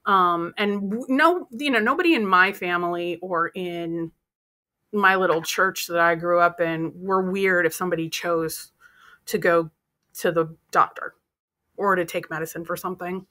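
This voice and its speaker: Bright-voiced woman chatting on a video call. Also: fairly low pitch, moderate pace. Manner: animated storytelling, acts out quoted voices. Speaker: podcast co-host. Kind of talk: casual chat. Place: home room with bookshelves and poster. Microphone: earbud headset on video call.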